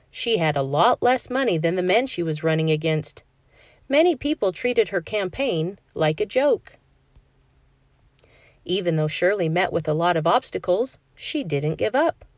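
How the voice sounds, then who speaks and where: A woman narrating a children's picture book aloud in a clear, measured storytelling manner for a classroom. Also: lowish voice, animated, no room sound, studio quality.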